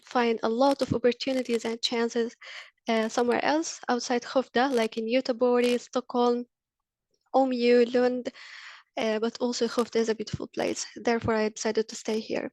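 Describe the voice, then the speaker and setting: A woman's voice: on the high side, a little mellow, no room sound. Earnest woman from Egypt, dry sound. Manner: earnest, with frequent fillers. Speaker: university lecturer. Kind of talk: webinar presentation. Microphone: video call.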